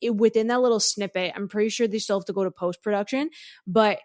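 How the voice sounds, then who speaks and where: A woman guesting on a film podcast, talking with animated, exaggerated fan enthusiasm. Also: medium pitch, quick pace. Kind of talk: casual film podcast chat.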